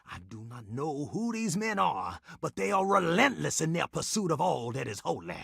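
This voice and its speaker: An actor playing an eccentric, scheming old mystic in a video game, speaking in a theatrical, preachy way. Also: male voice, a little high, big pitch swings, moderate pace, gravelly, a bit bright, husky, dry recording, studio quality, full character voice. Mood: urgent. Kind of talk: video game character acting.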